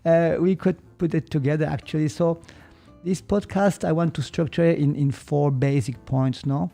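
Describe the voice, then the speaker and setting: Swiss German man, gravelly and mellow. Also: on the high side, fairly even intonation, faint music behind. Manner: slow, thoughtful, gets stuck on English words. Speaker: podcast guest, martial arts teacher. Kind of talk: reflective explanatory talk.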